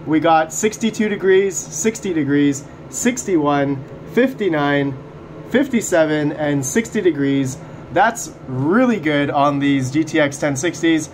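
A man's voice: fairly high pitch, expressive, measured pace, slight background noise. A man hosting a casual video about his mining rigs, chatty and upbeat, talking to viewers while he is losing his voice in a condo room. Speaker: mining channel host. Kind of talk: casual hands-on video commentary.

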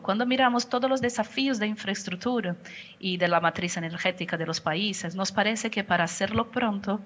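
A woman's voice: mid-range voice, fairly bright tone. A middle-aged woman from Brazil with a Portuguese accent, a communications director answering questions on a video call, choosing her words carefully and often restarting. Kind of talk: panel interview answer. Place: home office, indoors. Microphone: headset on video call.

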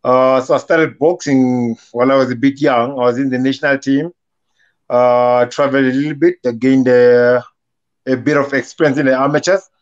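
A young man from South Africa, a boxer guest answering interview questions with many pauses. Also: mid-range voice, fairly even intonation. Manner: hesitant, many uh fillers. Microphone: video call. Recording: lo-fi video call audio.

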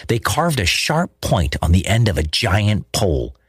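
Male storyteller for kids' myths; playful, highly animated, close dry sound with light music. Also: a little slow, husky, no echo. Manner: dramatic, playful. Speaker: storyteller, host. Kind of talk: scripted children's myth narration.

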